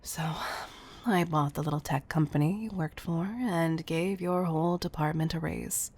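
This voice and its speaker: A woman playing a wealthy, obsessive CEO in an intimate audio roleplay, speaking in a breathy, gravelly murmur that is affectionate and confessional. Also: low voice, fairly even intonation, normal speed, a little mellow. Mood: confiding, possessive. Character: wealthy obsessive female CEO, tender yet controlling. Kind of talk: intimate audio roleplay monologue, partly whispered.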